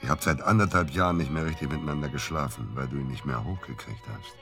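A man's voice: quick pace, music in the background. An actor playing a cornered, sardonic murder suspect in a radio drama, needling his friend in with dry irony. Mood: taunting, controlled. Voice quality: very deep, gravelly. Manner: dry, ironic, taunting. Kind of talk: German radio drama acting.

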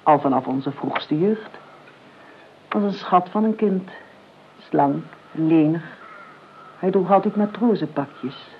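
A thin, phone-like old radio recording with a noisy background carries a calculating older aunt. She is sardonic and imperious, measuring out her words drily and leaning slowly on the important ones. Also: on the low side. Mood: sardonic reminiscing. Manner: measured, dry, drawing out key words. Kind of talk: Dutch radio play acting. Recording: tinny, band-limited old radio recording, clear noise.

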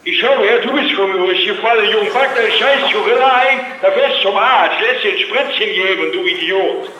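Gruff, very high-pitched man on the phone. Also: moderate pace. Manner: gruff, blunt, irritated. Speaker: tradesman receiving a prank phone call. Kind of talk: spontaneous phone conversation. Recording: muffled echoey phone line, faint noise.

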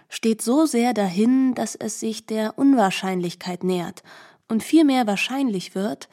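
A woman's voice: mid-range voice, a little mellow. A woman reading scripted literary narration for a radio production, composed and articulate, recorded in a studio.

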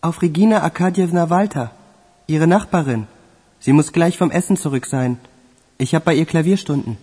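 A man's voice: on the high side, normal speed, mellow, breathy edge. A man playing a polite, matter-of-fact young piano pupil in a radio drama. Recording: long reverberant hall.

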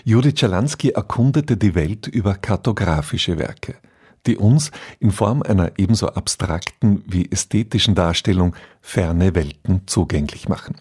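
A man's voice: low-pitched, darkish tone, breathy edge. A man presenting a scripted introduction to a radio drama.

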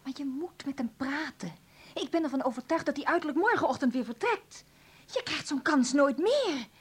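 A woman's voice: high-pitched, expressive, moderate pace. An actress playing an insistent, frustrated woman in a radio play, urgent and reproachful with her partner. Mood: urgent, pleading. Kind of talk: Dutch radio play acting.

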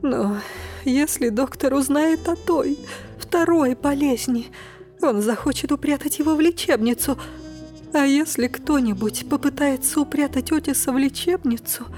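An actress playing a fretful, anxious aunt in an audio drama, worried and lamenting in a dramatic manner. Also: female voice, high-pitched, normal speed, darkish tone, soft background music, lightly acted. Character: fretful, anxious aunt worrying over her eccentric relative.

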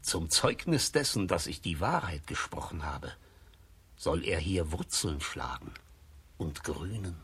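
Blind old wanderer, deep voice, swooping solemn prophecy, children's radio drama. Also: male voice, animated, fairly bright tone, husky, heavily acted. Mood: solemn, prophetic. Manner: measured, solemn, portentous. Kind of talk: German children's radio play acting.

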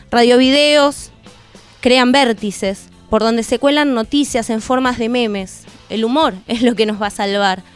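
A woman's voice: a little high, smooth. A woman with an Argentine accent delivering a scripted spoken-word monologue as a radio presenter. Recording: music bed under the voice. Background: music.

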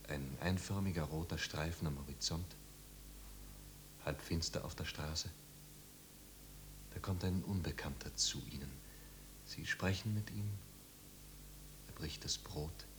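An ardent young poet in a fifties radio drama. His low voice is lyrical and sensitive, easily heated. The old recording carries a faint noise. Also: fairly bright tone. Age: young adult. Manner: impassioned, lyrical, dropping to near whispers. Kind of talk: 1950s German radio drama acting. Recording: noisy 1950s radio recording.

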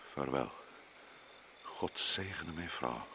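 A man's voice: very deep voice, expressive, slow, noisy background, full character voice. An actor playing a grim, guarded male adventurer recounting events in a radio drama. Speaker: narrator and lead character Paul.

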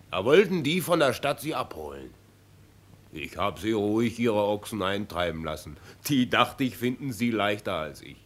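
An actor playing a bold, boastful young soldier who tells tales with a swagger, in radio drama style. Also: male voice, lowish voice, fairly bright tone, full character voice. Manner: swaggering storyteller.

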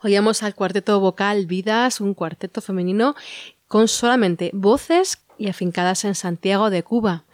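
A woman hosting a radio music programme, presenting songs in a calm, informative way. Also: medium pitch, moderate pace, no room sound, clean studio sound. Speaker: radio host.

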